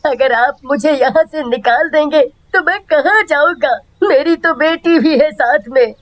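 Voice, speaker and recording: A woman's voice: high-pitched, a little slow, no room sound. A woman voicing a poor, humble father who begs tearfully in a children's moral story cartoon. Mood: desperate. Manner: wailing, begging delivery.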